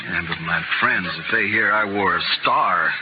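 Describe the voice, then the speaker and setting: An actor playing a wry, roguish gunfighter and reluctant deputy in a 1950s radio western. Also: male voice, lowish voice, moderate pace, natural voice. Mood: wry reluctance. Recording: very noisy muffled old radio recording.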